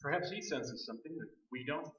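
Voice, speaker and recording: A man in a staged radio play, playing a solemn, pompous doctor of psychic research with formal, deliberate speech. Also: a little high, fairly bright tone, natural voice. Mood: condescending. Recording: roomy stage recording, distant, dull.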